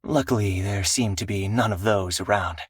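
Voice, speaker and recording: A man voicing an earnest, dutiful teenage exorcist swordsman in a video game, speaking solemnly and politely in measured phrases. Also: on the low side, husky, dry recording, clean studio sound. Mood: calm, thoughtful. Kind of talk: scripted video game character voice lines.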